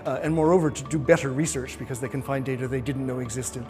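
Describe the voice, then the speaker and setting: A man with a Canadian accent, a researcher explaining his work clearly in an interview. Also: medium pitch, a little fast, music in the background. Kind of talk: interview answers in English.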